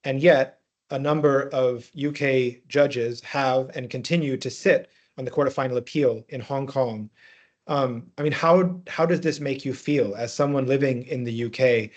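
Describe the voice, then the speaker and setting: Halting male moderator on dull call audio. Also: medium pitch. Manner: halting, measured, roundabout phrasing. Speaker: webinar moderator. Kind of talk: moderated panel questioning, formal but unscripted. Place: online webinar call.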